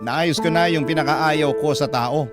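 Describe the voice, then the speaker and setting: Man acting as confiding letter-sender, Filipino accent, fairly high voice, radio drama storytelling, prominent piano music. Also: moderate pace, close to an everyday voice. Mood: contented, confiding. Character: contented letter-sender recounting happy family life. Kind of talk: first-person radio drama narration. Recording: loud piano music under the voice.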